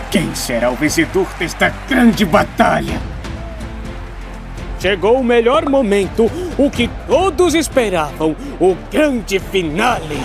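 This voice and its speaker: Man playing a high-pitched brash anime hero, Brazilian. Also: heavily acted. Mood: grand, theatrical. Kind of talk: scripted animated debate, announcer flourishes.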